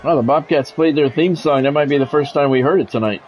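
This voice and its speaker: A middle-aged man with a slightly high voice calls a football video game live. He slips between play-by-play and offhand, conversational chat, swearing freely. Music plays faintly behind him. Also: fast talker, a little mellow. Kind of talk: live football commentary mixed with casual chat.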